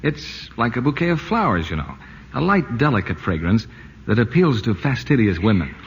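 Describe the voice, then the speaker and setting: A radio announcer selling with a salesy patter, husky and swinging through his phrases. The old broadcast sound is dull, with a long echo behind it. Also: medium pitch, big pitch swings, moderate pace. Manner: smooth, salesy. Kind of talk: 1940s radio commercial announcing.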